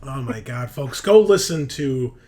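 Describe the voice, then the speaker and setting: A man's voice: mid-range voice, lively intonation, a little slow, a crowd in the background. A man working as game master, narrating a tabletop horror game in vivid second-person description mixed with casual banter.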